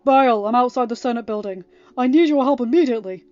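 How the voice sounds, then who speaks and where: A woman's voice: fairly high pitch, fast talker, a little mellow, no room sound, voice pushed up, close to an everyday voice. A grave male warrior-monk from a space-fantasy audiobook acted by a woman narrator. He speaks steadily and with measure. The recording is slightly muffled over light music. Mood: urgent.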